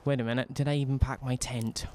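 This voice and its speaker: A young man from Wales with a softened Welsh accent, streaming a role-playing game in rambling, self-mocking chat full of restarts. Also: medium pitch, level tone, quick pace, slight background noise. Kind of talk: casual in-character role-play commentary.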